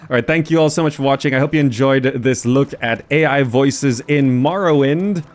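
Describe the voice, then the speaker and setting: A man's voice: medium pitch, normal speed. A man hosting a gaming video, giving casual commentary and thinking aloud as he goes. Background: faint game music.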